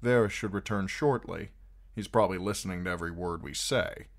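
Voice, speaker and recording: A man's voice: low-pitched, a little fast. A man doing a sardonic nobleman. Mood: wry. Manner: dry, sardonic.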